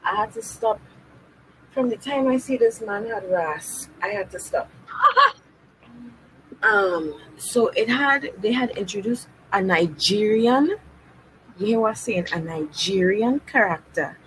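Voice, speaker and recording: A woman's voice: medium pitch, animated, takes it slowly, pauses often, a bit bright, slight background noise, rough recording. Chatty woman telling anecdotes. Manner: conversational, anecdotal. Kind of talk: casual chat.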